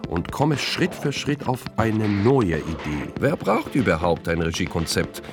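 Low, lively confessional narrator. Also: male voice, measured pace. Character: self-doubting impostor director confessing his schemes. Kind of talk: scripted first-person radio play narration. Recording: music under narration.

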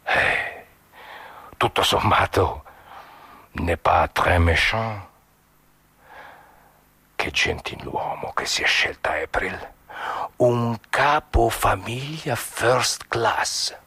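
An actor as a sardonic, sneering man in a stage drama, with a low, gravelly voice. He is blunt and mocking, taking his time over each ironic aside with slow, theatrical relish. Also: animated, pushed and bright, no echo, full character voice. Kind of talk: stage monologue acting.

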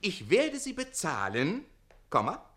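An actor playing a quick-witted, defiant servant in a radio comedy, declaiming theatrically and splitting hairs with passion. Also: male voice, high voice, huge pitch swings, a bit bright, exaggerated voice. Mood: combative, quibbling. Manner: declamatory, hair-splitting, impassioned. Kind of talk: German stage comedy radio play acting.

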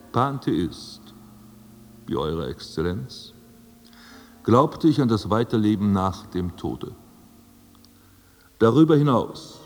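A man's voice: lowish voice, darkish tone. A man playing a haughty, self-assured dead aristocrat in a radio drama, speaking in formal, ornate and pompous phrases. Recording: long hall reverb, music underneath.

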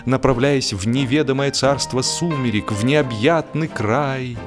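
Theatrical male storyteller over music. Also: medium pitch. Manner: theatrical, mock-lofty, expressive phrasing with deliberate pauses. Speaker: audiobook narrator. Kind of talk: dramatic humorous literary narration. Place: studio.

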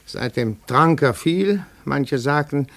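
An actor playing a shrewd, insinuating male investigator in a 1950s German radio play, musing deliberately and asking pointed questions. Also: on the high side, expressive, gravelly, fairly bright tone, full character voice. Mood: musing, intrigued.

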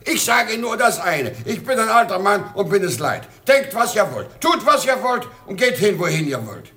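A man's voice: sits high, very animated, moderate pace, sharp tone, heavily acted. A man playing a weary, exasperated old emperor in a theatrical radio play, declaiming his lines. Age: older. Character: weary, querulous old emperor of China and doting father.

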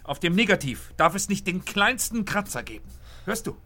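An actor playing a driven, exhausted film director in a German radio play, terse and commanding. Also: male voice, high-pitched, sing-song, moderate pace, pushed and bright, heavily acted. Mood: urgent, insistent.

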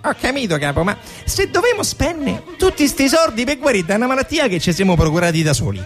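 An actor playing a naive, eager underling in a satirical stage sketch, wheedling and questioning in a Roman accent. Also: male voice, very high-pitched, very animated, fast talker, soft background music. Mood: worried. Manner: wheedling, questioning, dialect-heavy.

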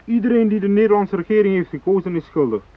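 An actor in a radio drama playing a fanatical, unyielding hijacker who speaks in cold, threatening declarations. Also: male voice, sits high, lively intonation, quick pace. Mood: hard, threatening. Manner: declarative, threatening, ideological speechmaking. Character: fanatical South Moluccan hijacker, unyielding, cold, righteous militant. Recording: thin phone-like radio drama recording.

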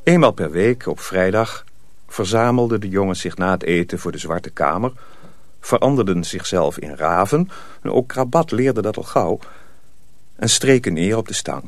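A man's voice: lowish voice, dry recording. A man narrating a radio drama as a storyteller, reading scripted prose dramatically.

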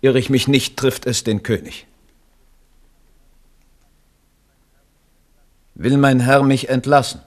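A man's voice: mid-range voice, fairly even intonation, normal speed, a little mellow, natural voice. An actor playing a blunt, stern royal minister in a radio drama, speaking formally and firmly as he admonishes. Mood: offended, stiff.

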